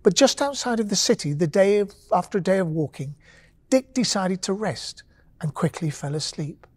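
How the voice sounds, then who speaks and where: A woman narrating a bedtime story with gentle, expressive pacing and dramatic pauses. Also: fairly low pitch.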